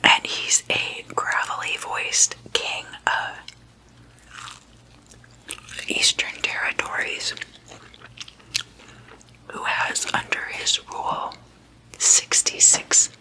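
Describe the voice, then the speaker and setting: A woman hosting whispered ASMR, speaking in a soft, breathy whisper in a casual, gossipy, deliberate way, like chatting at a sleepover. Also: low-quality recording. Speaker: ASMR host.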